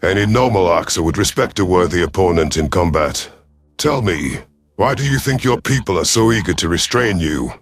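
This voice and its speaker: A low-voiced, stern alien predator commander acted by a man in a sci-fi audio story. The delivery is commanding and biting, with a sardonic growl. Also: expressive, moderate pace, no echo. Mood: cold, mocking.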